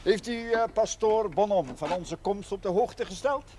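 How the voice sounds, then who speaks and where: An actor playing a learned, genial village schoolmaster, formal and gently teasing, in a television period drama. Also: male voice, sits high, unhurried. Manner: formal, dryly witty. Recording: noisy old TV soundtrack.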